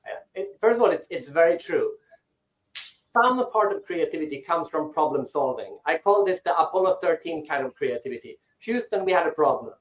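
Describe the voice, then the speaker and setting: A man's voice: fairly high pitch, expressive, normal speed. A man from Sweden, a guest speaker answering interview questions thoughtfully and often restarting his words. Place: rooftop of his island house. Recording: echoey online audio.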